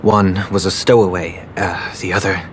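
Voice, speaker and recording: An actor playing a solemn, pompous and coldly righteous aristocrat in a video game, speaking in formal, grandiose phrases. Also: male voice, low-pitched, little pitch movement, slight background noise, close to an everyday voice. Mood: grave. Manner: formal, measured, grandiose speech. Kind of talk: scripted game voice acting. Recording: music behind the voice.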